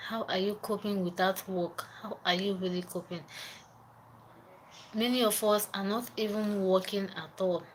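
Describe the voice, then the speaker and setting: A woman's voice: medium pitch, fairly even intonation, normal speed, slight background noise. A young woman from Nigeria with a Nigerian accent, chatting casually on a live stream, repeating herself and greeting viewers by name. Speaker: livestreamer.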